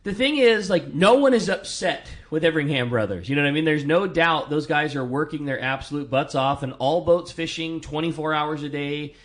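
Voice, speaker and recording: Loud energetic guy in his 30s, fishing radio cohost, kinda high voice, laughs a lot. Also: brisk, hardly any pauses. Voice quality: loud, powerful voice. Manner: upbeat, laughing storyteller. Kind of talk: casual radio chat.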